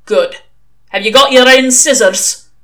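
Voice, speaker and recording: A woman voicing a testy, pompous old male witchfinder sergeant, with a gruff rumble, a mixed regional British accent and archaic dialect, in an audiobook. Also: slow pace, a bit bright. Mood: testy, ranting. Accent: unplaceable mixed British regional. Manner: ranting, booming, archaic dialect.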